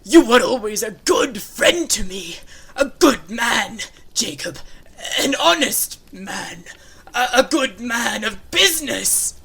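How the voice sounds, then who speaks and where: A man's voice: extremely high pitch, animated, slow, gravelly, sharp tone, breathy edge, voice pushed up, exaggerated voice. A man voicing a terrified old miser. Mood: pleading. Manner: stammering, pleading. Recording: echoey room with long reverb.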